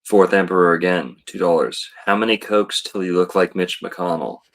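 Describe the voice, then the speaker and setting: A man on a casual podcast, talking in a slow, rambling way with many fillers and reading out viewer donations. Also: deep voice, fairly bright tone. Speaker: podcast host reading super chats.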